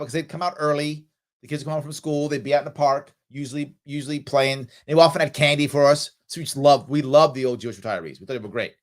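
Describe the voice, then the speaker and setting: A middle-aged man from the South Bronx, hosting a live ask-me-anything stream, reading viewer comments aloud and answering offhand with fillers. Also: medium pitch. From New York City, South Bronx. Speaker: livestream host answering viewer questions.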